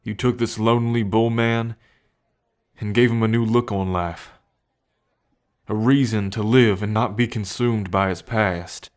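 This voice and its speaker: A man playing a gentle, devoted bull man with a violent past in an ASMR roleplay, speaking earnestly and tenderly with halting pauses. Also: fairly low pitch, steady tone, lightly acted. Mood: grateful, tender. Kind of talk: ASMR roleplay addressed to the listener.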